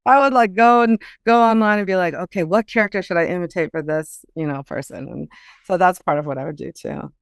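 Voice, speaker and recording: Smooth-voiced woman, chatty author in an interview. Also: lowish voice, brisk, no echo, studio quality. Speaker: guest author and ghostwriter. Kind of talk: casual conversational interview.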